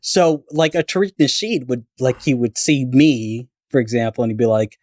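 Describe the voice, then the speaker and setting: A man's voice: medium pitch, moderate pace, a little mellow. A man born in Canada telling stories in a casual podcast chat, rambling with many fillers and restarts. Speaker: guest storyteller.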